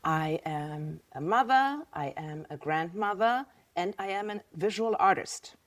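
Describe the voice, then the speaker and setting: A woman's voice: on the low side, expressive, unhurried. German-accented woman in her fifties. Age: 50s. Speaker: guest. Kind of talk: casual interview talk.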